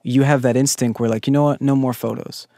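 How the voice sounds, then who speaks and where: A man's voice: mid-range voice, fairly even intonation, brisk, dark tone, no room sound, studio quality. A man hosting an interview, asking earnest and enthusiastic questions in casual chat. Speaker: podcast host.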